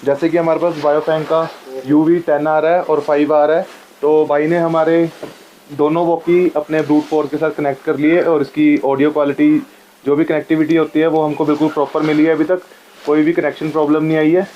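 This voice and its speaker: A man's voice: on the high side, little pitch movement, fairly quick, warm tone, dull audio, a little hiss or hum. A man hosting a casual vlog and asking questions in a polite, attentive way. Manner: polite, attentive, frequent short acknowledgements. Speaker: vlog host. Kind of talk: casual vlog presenting and interviewing.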